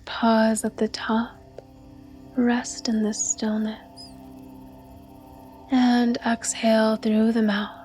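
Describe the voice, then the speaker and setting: Female meditation guide: breathy and soothing, very flat monotone melody, unhurried slow pacing. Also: a little high, faint music behind. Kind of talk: guided yoga nidra meditation narration.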